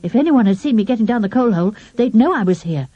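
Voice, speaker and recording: A woman voicing a young boy who is scared but defiant, speaking high and strained, in a storybook reading. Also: lively intonation, fast, no echo. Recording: dull lo-fi dry audio.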